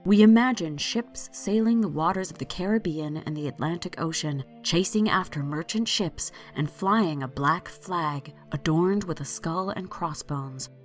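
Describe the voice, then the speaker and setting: A woman host reading a scripted solo podcast episode in a calm, measured way. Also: fairly low pitch, soft background music. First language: not French.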